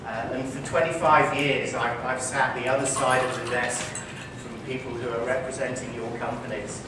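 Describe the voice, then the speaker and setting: Doctor in an echoey conference hall. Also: male voice, mid-range voice, steady tone, normal speed. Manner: articulate, emphatic, repetitive stress on points. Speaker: physician, interview guest. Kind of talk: conference stage interview. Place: conference hall before an audience. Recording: echoey hall, distant mic, noisy.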